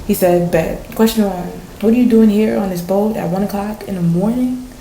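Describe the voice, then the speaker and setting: A woman's voice: mid-range voice, normal speed, mellow, a little hiss or hum, lightly acted. A woman playing a storm-shaken fisher in a radio play, recounting a surreal vision at sea in intense, colloquial storytelling. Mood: playful, bemused. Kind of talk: dramatic radio play monologue. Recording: roomy, echoing sound.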